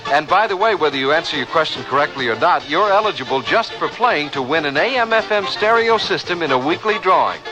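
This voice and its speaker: Station announcer: man, higher register, scripted promotional read, animated, over music bed. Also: brisk, few pauses, low-quality recording.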